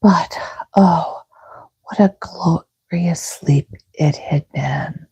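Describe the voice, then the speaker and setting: A woman reads bedtime stories in a soft, whispery ASMR style, gentle, soothing and unhurried. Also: low voice. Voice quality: breathy, whispery. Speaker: host reading bedtime stories. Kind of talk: soft-spoken ASMR storybook reading.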